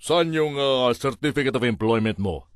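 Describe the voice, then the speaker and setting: A man voicing an obtuse, slow-witted male government clerk who questions hesitantly in a comic monologue. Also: mid-range voice, expressive, no echo, clean studio sound, natural voice. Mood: skeptical, plodding.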